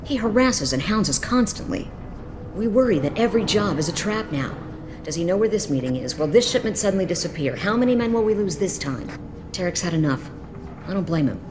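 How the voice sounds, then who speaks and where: A jaded female mercenary lieutenant, acted by a woman for a video game. The voice is low, frank and businesslike with some bitterness, over clear ambient noise. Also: animated, a little fast, natural voice. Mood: bitter.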